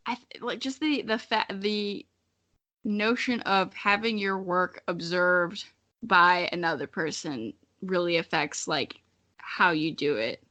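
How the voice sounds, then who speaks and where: A woman's voice: on the low side, slow, sharp tone. A young woman co-hosting a podcast in casual chat, candid and self-mocking, with easy swearing. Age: young adult. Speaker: podcast co-host. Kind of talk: casual chat between friends.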